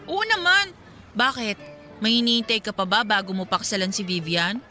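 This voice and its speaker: A woman's voice: mid-range voice, normal speed, bright, forceful tone, soft background music, lightly acted. A woman playing a single young woman secretly pining for her friend in a Filipino radio drama, speaking in a casual, teasing, colloquial way. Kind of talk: Tagalog radio drama dialogue.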